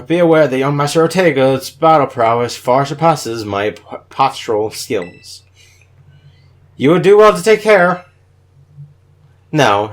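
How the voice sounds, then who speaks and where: A man voicing a courteous, formal gentleman tutor in a video game, with stiffly polite phrasing. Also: medium pitch, voice pushed up. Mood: gracious.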